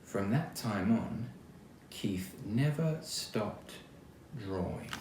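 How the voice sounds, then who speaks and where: Australian male, lowish voice, expressive children's storytime read-aloud with chatty asides. Roomy sound with background noise. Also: very slow. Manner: expressive, chatty, addressing young listeners directly. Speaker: actor reading a children's book aloud.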